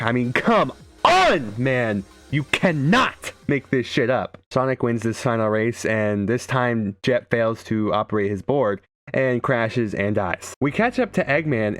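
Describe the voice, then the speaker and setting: Young man, mid-pitched voice, irreverent game commentary, sarcastic delivery, light music behind. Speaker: video game video creator. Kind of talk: joking scripted game commentary.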